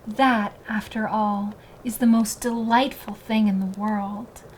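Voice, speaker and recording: A woman's voice: medium pitch, steady tone, takes it slowly, a little hiss or hum, natural voice, rough recording. A woman voicing a restless, discontented young male fir tree in a children's storybook, wistful and full of eager questions. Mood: yearning.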